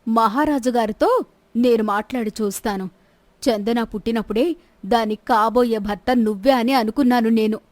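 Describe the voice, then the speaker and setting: An imperious queen and protective elder sister performed by a woman storyteller in a moral tale. Her voice is on the high side, stern and quick to take offense, in a dry recording. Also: a little mellow, no room sound, lightly acted. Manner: stern, commanding. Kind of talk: scripted Telugu moral-story dramatization.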